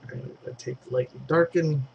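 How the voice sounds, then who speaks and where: A man's voice: medium pitch, fairly even intonation, quick pace, creaky, darkish tone, lo-fi sound, slight background noise. A man with a congested throat giving a casual digital painting tutorial, thinking aloud as he works and dropping in fillers. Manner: chatty, thinks aloud while working, trailing fillers.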